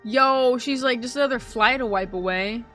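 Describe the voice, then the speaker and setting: A woman streams her reactions to a game in a fairly high voice. She chats casually and playfully in slang, given to exclamations and sing-song asides, with faint music behind her. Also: moderate pace, sharp tone.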